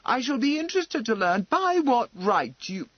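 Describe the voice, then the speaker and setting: A man voicing a sulky, blustering young gentleman who speaks high and indignant. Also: big pitch swings, moderate pace, dry recording, muffled recording, full character voice. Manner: blustering and indignant, rising pitch.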